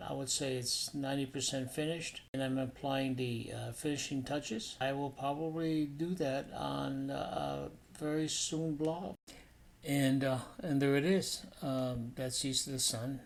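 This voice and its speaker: A man's voice: mid-range voice, gravelly. A man narrating a painting demonstration as an instructor, in an informal, explanatory and somewhat hesitant way.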